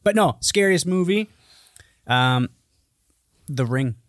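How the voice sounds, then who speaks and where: A man's voice: on the high side, animated, takes it slowly, no echo, studio quality. A man co-hosting a podcast in casual chat, swearing freely and joking crudely. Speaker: podcast co-host.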